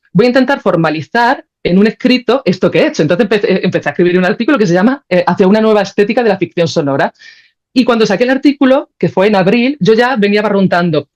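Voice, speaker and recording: A woman with a fairly low-fidelity, dulled recording talks as an interview guest. She explains her thinking conversationally, working it out as she goes and often checking that she is being followed. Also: a little mellow, dull audio. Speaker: podcast guest, sound creator.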